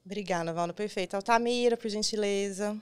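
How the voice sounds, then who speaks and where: A woman's voice: mid-range voice, brisk, a little mellow, smooth. A woman in her thirties with a Brazilian accent, hosting a podcast and guiding an interview at a studio microphone. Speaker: podcast host. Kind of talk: scripted introduction and interview questions. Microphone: desk studio microphone on boom arm.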